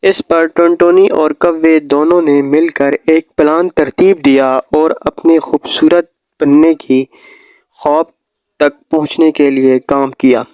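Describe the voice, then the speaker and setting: High, clear male storyteller. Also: fairly even intonation, measured pace, a little mellow, no room sound. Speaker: narrator. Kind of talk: scripted children's story narration.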